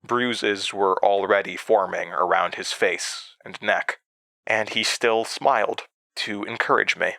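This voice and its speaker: A man playing a haunted narrator in a horror audio drama, recalling his past gravely with dramatic pauses. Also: on the low side, bright, forceful tone, no echo, heavily acted. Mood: grave recollection. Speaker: narrator and protagonist.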